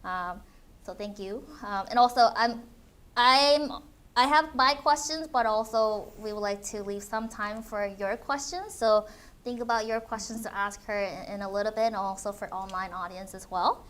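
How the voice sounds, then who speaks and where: Halting woman moderator asking questions. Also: mid-range voice, a bit bright. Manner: halting, restarts often with "uh" and "um". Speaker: interview moderator. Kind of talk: hosting a live Q&A conversation.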